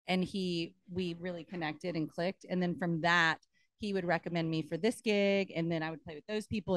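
A woman in her thirties from Texas, a touring bass player chatting casually in an interview on a beach restaurant patio, laughing often and trailing off with fillers. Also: dry recording. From Austin, Texas. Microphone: handheld mic.

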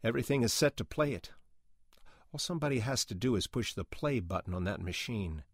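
A man's voice: medium pitch, lively intonation, a little fast, no room sound, clean studio sound, voice pushed up. A man voicing a pushy, manipulative record company man who coaxes and needles insistently in a dramatic audiobook reading. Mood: placating, persuasive.

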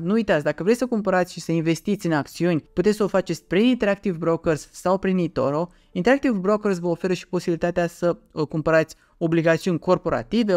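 A man's voice: a little high, gravelly, a little mellow, no room sound, studio quality. A man, explaining things casually to camera in a conversational way, with rhetorical questions and repeated words for emphasis. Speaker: finance YouTuber, host. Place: small dim home room, indoors.

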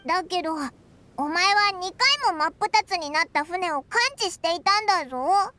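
A woman voicing a small, perky floating fairy companion in a Japanese game dub, with a squeaky childlike voice and blunt, boyish speech. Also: lively intonation, moderate pace, bright, forceful tone, dry recording, exaggerated voice. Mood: matter-of-fact. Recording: music under the dialogue.

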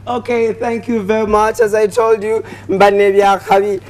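A man's voice: high voice, level tone, normal speed. A young man with a Ugandan accent hosting a casual TV interview, talking in a chatty, repetitive way. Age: young adult. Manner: chatty, repetitive, punctuated with "you know". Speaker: TV host. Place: TV studio. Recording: clearly audible background noise.

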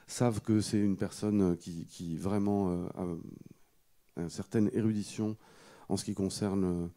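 A middle-aged man telling a story at a panel discussion in a halting, hesitant way, often repeating words, into a handheld microphone. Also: deep voice, fairly even intonation, dark tone. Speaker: guest speaker, photographer. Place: indoor auditorium stage. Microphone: handheld microphone held close.

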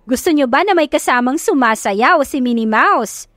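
A woman with a Filipino accent voicing a cheerful female children's party host, announcing loudly with drawn-out words. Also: high voice, expressive, dry recording, clean studio sound, faint music behind, pitched above the performer's own voice. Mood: excited announcing.

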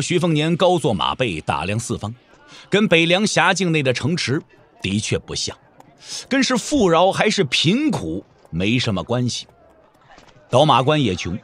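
Husky, highly expressive male storyteller. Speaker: narrator. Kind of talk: dramatic scripted storytelling for martial-arts audio drama.

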